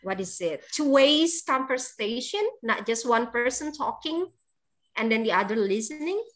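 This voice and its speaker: A woman's voice: on the high side, animated, fairly bright tone. A woman English teacher with an Indonesian accent, giving chatty, encouraging feedback at the end of an online class over a video call. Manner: encouraging, chatty, laughs often, fills pauses with um.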